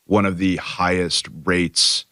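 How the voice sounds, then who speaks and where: A man's voice: deep voice, little pitch movement, takes it slowly, bright, forceful tone, clear, resonant tone, no room sound, studio quality. A young man, an MBA student co-hosting a podcast, talking in a loose, rambling way full of "you know" and "like". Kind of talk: casual podcast chat.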